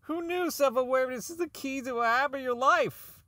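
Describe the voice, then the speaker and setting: A man acts out a socially inept male robotics engineer coming apart in an audio drama. His voice sits high and has a bright, tense edge, captured very dry. He rambles bitterly through his logs, stammering, swearing and prone to outbursts. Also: moderate pace, dry recording. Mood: sardonic. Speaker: voice actor in a fan-made audio drama. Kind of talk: dramatic monologue as a recorded log.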